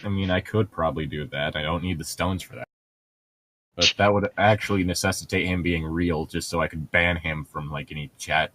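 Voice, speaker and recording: A man's voice: low-pitched, steady tone, fairly quick, pushed and bright, no echo. A man co-hosting a podcast, reading aloud and bantering casually in a playful, sarcastic, sweary way. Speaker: podcast co-host.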